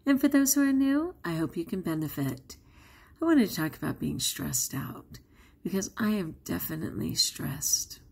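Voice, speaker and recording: A woman's voice: on the low side, very animated, moderate pace, gravelly, slightly rough. A woman, not a native English speaker, talking candidly to her camera in a chatty vlog. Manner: candid and chatty, with frequent laughs. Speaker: vlogger. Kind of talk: casual vlog talk to camera.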